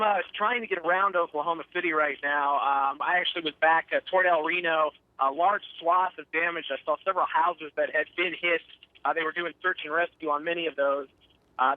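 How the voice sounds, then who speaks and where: A man's voice: fairly high pitch, no echo. A man giving a live eyewitness report as a storm chaser over the phone, in a hesitant, matter-of-fact way. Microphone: phone call. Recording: muffled narrow telephone line.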